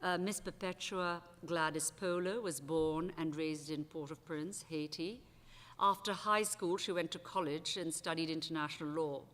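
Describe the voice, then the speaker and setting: Low-voiced woman chairing a panel. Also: a bit bright. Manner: conversational, with frequent restarts and "uh"s. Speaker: session chair. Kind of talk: panel moderation at an academic conference. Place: large auditorium stage at a panel table. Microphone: table microphone. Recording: long reverb of a large hall.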